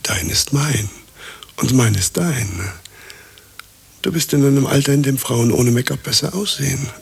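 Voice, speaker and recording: An actor playing a fussy, vain, clingy husband in a radio play, coaxing and preening, easily stressed. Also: male voice, medium pitch, unhurried, vocal fry, husky, exaggerated voice. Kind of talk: radio drama acting. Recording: audible background hiss.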